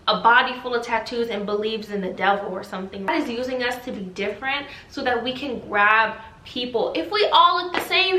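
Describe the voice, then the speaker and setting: A young woman in her twenties with a bright, higher-pitched voice, chatting through a faith Q&A. She is talkative and animated and calls herself loud. She often presses the listener with rhetorical questions, and her voice rings in a roomy, echoey space. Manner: talkative and animated, self-described loud yappy voice; exhorting, rhetorical questions to viewers. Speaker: faith vlogger answering viewer questions. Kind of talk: casual faith chat, preachy Q&A. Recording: echoey room.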